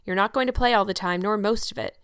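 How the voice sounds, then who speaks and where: Brief: woman reading as a brisk, scolding older woman, practical and dry-witted, close dry sound. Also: medium pitch, fairly bright tone, clean tone, no echo, close to an everyday voice. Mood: stern.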